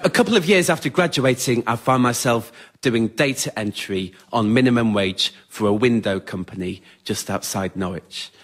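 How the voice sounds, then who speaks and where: A young man with an English accent performs scripted autobiographical stories on stage in a wry, understated, measured way. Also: medium pitch. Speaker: writer-performer of a solo spoken-word show. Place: radio theatre in front of a live audience.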